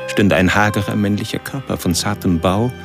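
An actor playing a lean, brooding man who calls himself a monster, confessing in measured, pompous, intellectual words in a radio drama monologue. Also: lowish voice, creaky, over loud music. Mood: brooding, clinical. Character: brooding, self-declared monster, lean male killer narrating his confession.

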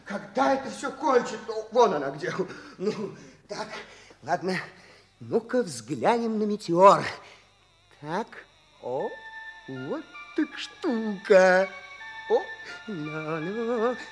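An eccentric scientist in an old radio drama. His voice is piping and very high for a man. He is absent-minded and excitable, exclaiming and repeating himself. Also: slow pace, pauses often, faint music behind, heavily acted. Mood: muttering, surprised. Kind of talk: 1960s radio play acting.

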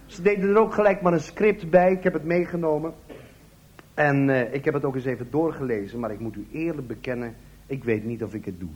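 A man's voice: medium pitch, fairly quick, darkish tone, highs cut off. A man delivering a comic monologue, addressing his listeners as a performer of radio play parody.

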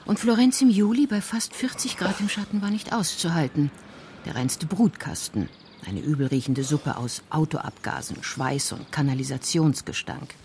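A woman's voice: sits low, lively intonation, normal speed, a little mellow, slight background noise. A woman narrator reading scripted crime-story narration for a radio drama.

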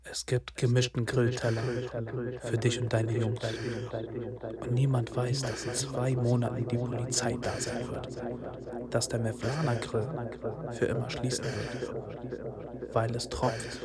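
A man's voice: medium pitch, flat delivery, slow pace, long pauses, over a noisy crowd. An actor playing a bitter young man from an immigrant neighbourhood in a radio drama monologue, speaking in rhythmic short phrases with insistent repetition. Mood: bleak, subdued. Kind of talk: literary radio drama monologue, spoken-word delivery.

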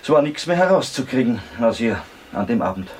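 A man's voice: mid-range voice, very animated, fairly quick, a little hiss or hum, natural voice, poor audio. An actor playing a talkative, troubled Viennese man in a radio drama, colloquial, rambling and hesitant. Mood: chatty, gossiping. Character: talkative, troubled Viennese cousin of a stricken girl.

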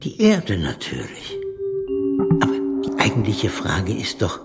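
An actor playing a gentle, whimsical old Pope who talks playfully to a child and drops in Italian, in a German radio play. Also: male voice, on the low side, huge pitch swings, over loud music. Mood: kindly, curious. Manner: drawn-out, playful, sprinkles in Italian.

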